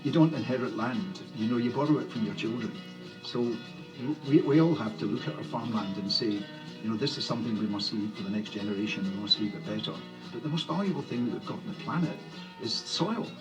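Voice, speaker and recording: A farmer speaking earnestly in a documentary video. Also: male voice, mid-range voice, lively intonation, a little fast, rough recording. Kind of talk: documentary interview. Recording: video clip with music.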